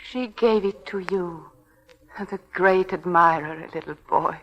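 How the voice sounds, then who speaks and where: Scared fragile young victorian wife, pleading and halting, old radio play acting. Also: female voice, on the low side, a bit bright, lo-fi sound. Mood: wary, weak. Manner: hesitant, pleading, breaks off and repeats words. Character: frightened, fragile young Victorian wife doubting her sanity. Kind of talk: 1940s radio drama acting.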